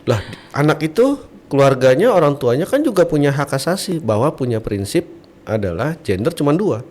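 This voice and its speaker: A man's voice: a little high, very animated, moderate pace, darkish tone. A man from Bali, apparently middle-aged, talking as a podcast guest and preacher, telling stories with rhetorical questions. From Bali, Indonesia. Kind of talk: conversational religious podcast talk.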